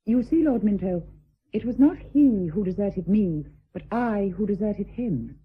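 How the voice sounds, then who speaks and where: An actress playing a dignified, steadfast deserted wife of rank in a period radio drama, speaking in a measured, formal, resolute way. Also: female voice, mid-range voice, lively intonation, breathy edge, narrow, tinny audio. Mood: wounded, candid.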